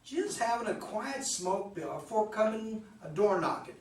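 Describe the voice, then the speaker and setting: A man's voice: high-pitched, sing-song, a little slow. A man acting an aging, rascally rural drifter in a play reading, with a folksy American accent and an easygoing, evasive air. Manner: folksy old-time rural dialect. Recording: distant mic, echoey room, faint noise.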